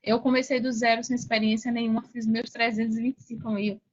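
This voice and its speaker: A woman's voice: fairly high pitch, little pitch movement, quick pace, highs cut off. A woman with a Brazilian accent telling personal stories casually as a podcast guest, repeating key phrases for emphasis.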